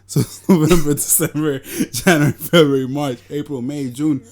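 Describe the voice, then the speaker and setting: Cara de vinte e poucos, sotaque de Nova York, papo solto de podcast, brincalhão e boca-suja. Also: male voice, a little high, steady tone, moderate pace, darkish tone. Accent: New York. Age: 20s. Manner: joking, loose, swears freely. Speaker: podcast co-host. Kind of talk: casual chat.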